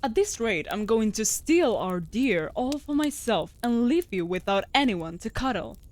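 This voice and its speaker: A woman voicing a female wolf familiar in a fantasy comfort audio drama, coaxing softly with dry, teasing banter. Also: on the high side, very animated, moderate pace. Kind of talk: scripted comfort audio roleplay.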